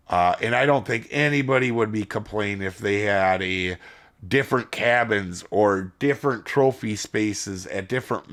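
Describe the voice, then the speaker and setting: A man's voice: medium pitch, a bit bright. A man hosting a gaming channel, chatting casually to his viewers while losing his voice and rambling from point to point. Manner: rambling, addresses viewers as guys. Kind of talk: casual video commentary.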